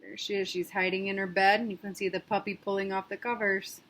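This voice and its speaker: A woman teacher reading a picture book aloud to her class, gently pausing to ask the children questions. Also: mid-range voice, flat delivery, slight background noise.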